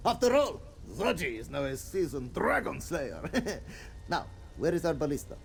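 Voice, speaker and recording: A man's voice: a little high, sing-song, moderate pace, creaky, slight background noise. An actor playing a boastful but cowardly, lovable cat-man adventurer in a fantasy video game, theatrical with nervous hesitations. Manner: theatrical bragging with nervous hesitation, third-person speech. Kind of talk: video game voice acting, comic fantasy dialogue. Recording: game audio with faint music behind.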